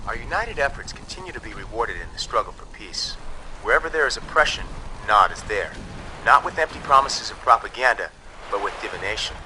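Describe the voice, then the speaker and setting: A man's voice: lowish voice, sing-song, brisk. A man playing a proud, militant propaganda broadcaster, delivering a scripted announcement over background noise. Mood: proud, solemn.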